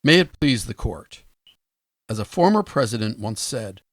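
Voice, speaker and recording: An actor playing an earnest, principled prosecuting attorney in a radio courtroom drama, formal and solemn. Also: male voice, lowish voice, no room sound, clean studio sound.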